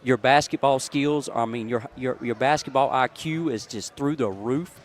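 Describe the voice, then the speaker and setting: A man's voice: medium pitch, normal speed, slight background noise. An effusive man, chatting casually and emphatically as a sports radio co-commentator. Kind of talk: casual live post-game chat.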